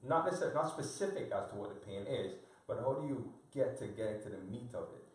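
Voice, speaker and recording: Casting: thoughtful, halting man, heard from off-mic in a reverberant room. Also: mid-range voice, expressive, far from the mic. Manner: thoughtful, hesitant, searching for words. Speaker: panelist. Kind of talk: live panel discussion.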